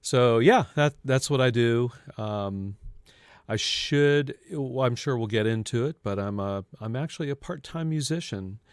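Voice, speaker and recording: Man in his early sixties, very clear voice. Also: mid-range voice, measured pace, dry recording, clean studio sound. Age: early 60s. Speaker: podcast guest, ambient guitarist. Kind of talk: casual conversational interview.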